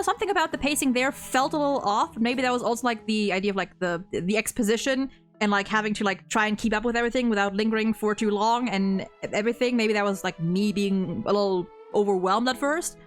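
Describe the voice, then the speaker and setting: Female co-host, higher voice, animated and rambling, casual game-review chat over background music. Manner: animated, rambling, stammering with repeated words. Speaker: streamer and video co-host.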